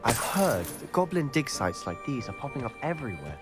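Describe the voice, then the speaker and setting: A man's voice: mid-range voice, very animated, fairly quick, over music, lightly acted. A young man playing an earnest, brooding teenage schoolboy wizard in a video game. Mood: thoughtful. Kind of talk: video game dialogue acting.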